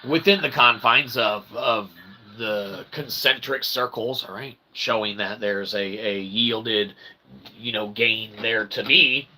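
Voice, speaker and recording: A man's voice: fairly low pitch, takes it slowly, bright, forceful tone, low-quality recording. A man delivering a rambling, joking philosophical monologue to camera as a channel host, with convoluted pseudo-formal phrasing, repetition and casual swearing. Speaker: host of his own channel. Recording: noticeable background noise.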